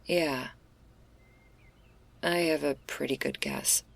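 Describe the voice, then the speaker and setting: A woman playing a laid-back, restless stoner werewolf in an intimate ASMR roleplay, husky and gravelly, confiding in a casual, hesitant, slangy way. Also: sits low, fairly even intonation, takes it slowly, heavily acted.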